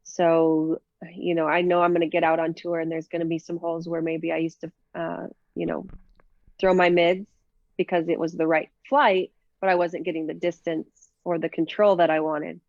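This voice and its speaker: A woman's voice: on the low side, little pitch movement, moderate pace, a little mellow, dry recording, lo-fi sound. A woman from Minnesota with a Minnesota accent, chatting casually in an interview with many fillers and easy laughs. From Minnesota, USA. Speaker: professional disc golfer, guest.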